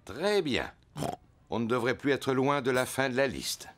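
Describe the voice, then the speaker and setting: A man's voice: medium pitch, animated, unhurried, fairly bright tone, slight background noise. A man voicing a good-natured, slightly bumbling father pig in a preschool cartoon, patient and encouraging. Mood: jovial, encouraging. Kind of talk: French-dubbed preschool cartoon acting. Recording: music behind the voice.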